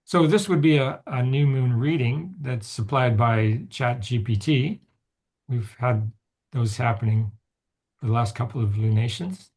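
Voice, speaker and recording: Raspy, gravelly man hosting a video call. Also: mid-range voice, measured pace, a little mellow. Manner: rambling, with many restarts and chuckles. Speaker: host and mythologist. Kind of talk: casual talk on a video call.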